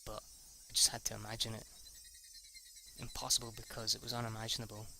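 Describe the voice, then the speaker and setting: A man reading a visual novel aloud as an amateur, haltingly, stumbling and correcting himself with the odd swear word as an aside. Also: lowish voice, monotone, gravelly, background noise. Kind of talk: amateur read-through of a story.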